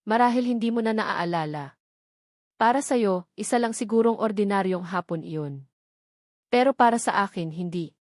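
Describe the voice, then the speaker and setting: A woman reading the part of a calm, soft-spoken, wealthy male politician in an audio love story. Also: medium pitch, normal speed, no room sound, studio quality, lightly acted. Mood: calm, knowing.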